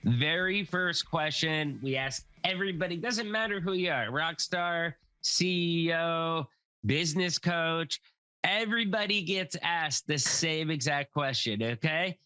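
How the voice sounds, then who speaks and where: A man's voice: fairly high pitch, measured pace, bright, forceful tone, clean tone, no room sound. A middle-aged man hosting a podcast, upbeat and jokey, chatting casually with a guest. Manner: upbeat, jokey, repeats himself. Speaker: podcast host. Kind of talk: casual interview chat.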